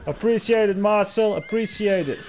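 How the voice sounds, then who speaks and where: A man's voice: sits high, normal speed, a little mellow, slight background noise, poor audio. A young man from the Netherlands with a Dutch accent, chatting casually with viewers and fellow players while hosting a game livestream. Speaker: livestream host. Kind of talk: casual gaming livestream chat.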